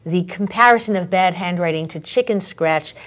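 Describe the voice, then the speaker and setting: A woman's voice: fairly low pitch, normal speed, fairly bright tone. A woman who is an author and handwriting expert, guest on an interview show, explaining in a precise, articulate way. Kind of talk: interview conversation.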